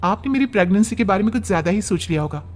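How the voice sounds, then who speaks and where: Man doing a very high, plaintive young woman. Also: expressive, rapid-fire. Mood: calm, dismissive. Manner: plaintive, polite, deferential. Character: soft-spoken young pregnant woman in trouble. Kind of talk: acted storybook dialogue.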